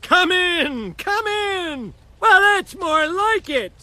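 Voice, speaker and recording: High, swooping cranky old grandpa. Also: male voice, animated, extremely slow, bright, forceful tone, slight background noise, heavily acted. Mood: grumbling. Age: older. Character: cranky, wounded old grandpa, loud and melodramatic. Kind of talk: animated comedy voice acting.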